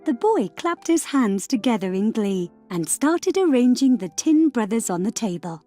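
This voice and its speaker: Animated woman telling a fairy tale. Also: a little high, normal speed, faint music behind. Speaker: storyteller. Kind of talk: scripted fairy tale narration for children.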